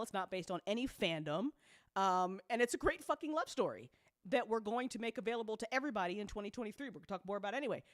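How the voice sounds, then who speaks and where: A woman's voice: a little high, dry recording, clean studio sound. A woman hosting a casual, chatty recap for her community, effusive and freely sweary, often repeating phrases for emphasis. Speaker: host, audio drama creator.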